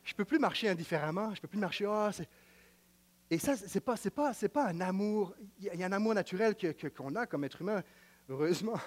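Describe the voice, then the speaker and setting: High-voiced Quebec French preacher. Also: male voice, expressive, a little fast. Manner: emphatic, repetitive, swings between loud declaiming and quiet intimacy. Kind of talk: sermon.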